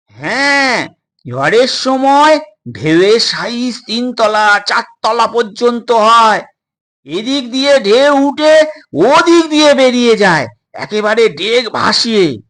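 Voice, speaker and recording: A man voicing a brisk, urgent sailor in an audio story, loud and emphatic. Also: very high-pitched, sing-song, pitched above the performer's own voice, exaggerated voice. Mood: emphatic explaining. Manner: loud, emphatic, repeating himself. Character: brisk, urgent male sailor on a storm-tossed ship.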